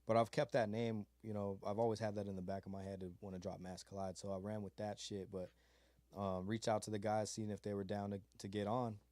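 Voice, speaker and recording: A man's voice: on the low side, little pitch movement, fairly quick, warm tone, no room sound. A man from California's Mojave desert, a beat maker being interviewed, talking in a laid-back, rambling way full of fillers and slang. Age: adult with a child. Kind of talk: casual interview chat.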